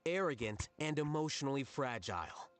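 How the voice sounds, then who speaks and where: An actor playing a coolly rational young scholar in an anime game, detached, articulate and dryly condescending. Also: male voice, medium pitch, lively intonation, normal speed, faint music behind, natural voice. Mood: cool, cutting.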